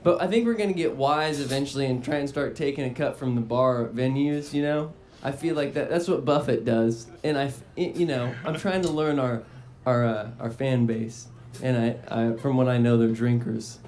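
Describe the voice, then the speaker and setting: A musician, a man with a fairly high voice for a guy, chats loosely into a stage mic, full of fillers and easy asides. A faint outdoor hiss hangs behind him on the live broadcast. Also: normal speed, few pauses. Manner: relaxed, rambling with fillers. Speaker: band member guest. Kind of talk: casual interview chat. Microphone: stand mic on stage.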